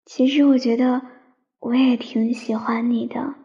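A woman playing a shy, romantic young woman who tells her love story in a soft, sentimental radio drama style. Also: a little high, flat delivery, unhurried, creaky. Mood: shy, hesitant. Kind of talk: Chinese radio drama, sentimental first-person narration. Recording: slightly dull sound under piano music.

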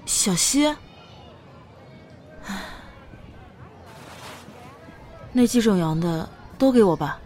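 A woman playing a gentle, easily flustered young woman who dotes on a child in an audio drama, soft and hesitant. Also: medium pitch, lively intonation, moderate pace, faint music behind, a faint crowd, lightly acted. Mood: absent-minded, gentle. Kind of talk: Chinese audio drama acting.

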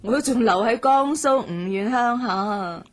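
A woman playing an outspoken, loyal young student in a radio drama, expressive and exclamatory. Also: a little high, a little slow. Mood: chatty, confiding.

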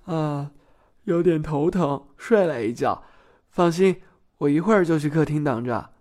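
A man's voice: fairly high pitch, a little slow, a little mellow, natural voice. Man playing a lightish-voiced sweet young guy. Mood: teasing. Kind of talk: audio drama acting.

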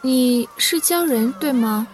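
Woman playing a high-voiced innocent young girl. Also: takes it slowly, a little mellow, distant mic, soft background music. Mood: earnest. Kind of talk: dramatic audio drama acting.

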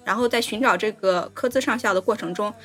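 A woman's voice: mid-range voice, fast, a bit bright, soft background music. A woman co-hosting a casual film discussion, going step by step with repeated linking words. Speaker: podcast co-host.

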